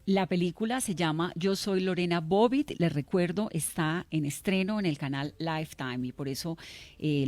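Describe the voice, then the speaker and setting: A woman with a fairly low voice hosts a radio interview. She asks direct, probing questions, recorded with a close, dry sound. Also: no echo.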